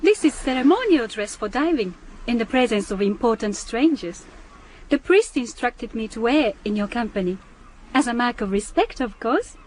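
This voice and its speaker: A woman's voice: fairly high pitch, expressive, moderate pace, darkish tone, a little hiss or hum, close to an everyday voice, low-quality recording. An actress playing a young Japanese island diving woman in a radio drama, earnest and practical, with careful, formal phrasing. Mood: polite, formal.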